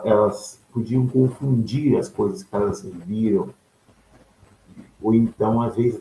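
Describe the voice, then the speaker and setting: A man's voice: fairly low pitch, creaky, a little mellow. A man giving an explanatory lecture, asking questions aloud and answering them himself, often checking in with his listeners. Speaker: ufology lecturer. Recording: echoey room with faint background noise.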